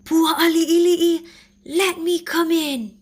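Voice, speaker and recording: A woman voicing a menacing male lizard monster in a storybook tale, yelling and gloating in a drawn-out way. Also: extremely high pitch, steady tone, pitched above the performer's own voice. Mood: threatening.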